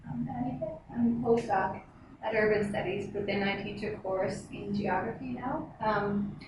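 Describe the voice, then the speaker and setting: A woman's voice: medium pitch, steady tone, moderate pace. Female researcher, informal introduction, far from mic with strong echo. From Finland. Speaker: postdoctoral researcher. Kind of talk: casual self-introduction. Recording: very echoey room, distant, noisy.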